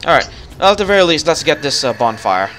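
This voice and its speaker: Bright-voiced man thinking aloud over gameplay. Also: fairly high pitch, fast, slight background noise. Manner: relaxed, thinking aloud while guiding. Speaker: let's play host. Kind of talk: casual co-op gameplay chat.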